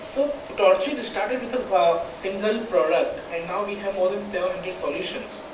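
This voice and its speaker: A panel speaker with an Indian accent giving a semi-formal presentation for a startup, speaking in a halting way and often repeating words. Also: mid-range voice, a crowd in the background. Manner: halting, repetitive phrasing. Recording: distant echoey mic with clear background noise.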